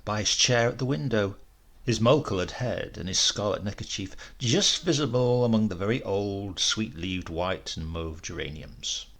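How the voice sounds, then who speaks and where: Male audiobook narrator: lowish pitch, gravelly creak, measured and expressive literary storytelling.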